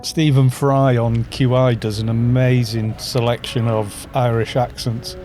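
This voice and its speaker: A North Welsh man in his fifties chats on a live stream in a mid-pitched voice, rambling from story to story and chuckling now and then. Faint music plays underneath. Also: little pitch movement, a little slow, warm tone. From North Wales. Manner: chatty, rambling, chuckles often, reads chat aloud. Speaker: streamer leading a game group. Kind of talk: casual live-stream chat while gaming.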